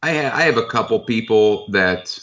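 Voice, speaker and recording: A man with a very low, deep voice talks in a relaxed, frank and talkative way as a chef being interviewed. The recording sounds dull and slightly lo-fi. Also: moderate pace. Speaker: chef who buys from foragers. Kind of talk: casual interview talk.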